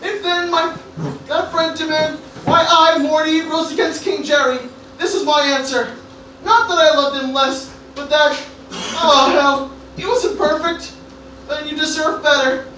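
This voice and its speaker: Very high, bright boyish orator played by a woman. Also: steady tone, measured pace. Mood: awkward, defensive. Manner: earnest public oration. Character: anxious teenage boy orating nobly as an assassin. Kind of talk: amateur Shakespeare-parody radio play acting. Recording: very echoey, distant room, faint noise.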